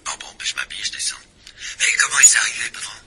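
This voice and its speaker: A man's voice: mid-range voice, very animated, racing, close to an everyday voice. An actor playing a sleepy police inspector woken at midnight by his chief, in a French radio drama. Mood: drowsy. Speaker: police assistant. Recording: noisy old radio recording.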